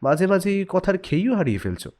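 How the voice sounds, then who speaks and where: A man's voice: high voice, very animated, brisk, darkish tone. A man narrating a scripted audio story expressively, acting out the dialogue as he goes.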